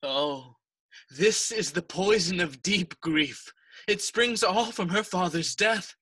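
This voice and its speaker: A placating king in Shakespearean audio drama, his voice high and bright. He declaims solemnly in English and Spanish, slowly and deliberately, in a dry recording. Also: husky, no echo, full character voice. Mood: sorrowful.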